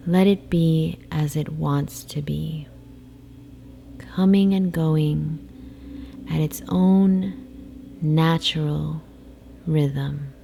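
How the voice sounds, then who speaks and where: A woman guiding a sleep meditation in a soft, soothing, hypnotic way, with breathy phrase endings and long pauses. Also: low-pitched. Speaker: meditation guide. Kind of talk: guided sleep meditation, slow hypnotic narration. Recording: faint background noise, ocean waves. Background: ocean wave sounds.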